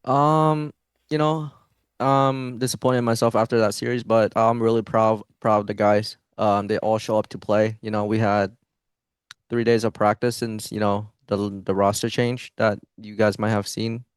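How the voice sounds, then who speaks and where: Young man, esports player, post-match interview, hesitant, many restarts, smooth low voice. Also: level tone, moderate pace, no echo.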